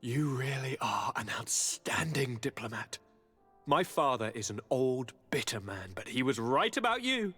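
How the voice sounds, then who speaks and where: Theatrical young nobleman with sweeping pitch. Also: a little slow, breathy edge, exaggerated voice. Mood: fascinated, eager. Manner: courtly, theatrical, expressive. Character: charming, theatrical young nobleman governor, eager and affectionate. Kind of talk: dramatic video game voice acting. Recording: reverberant hall, music underneath.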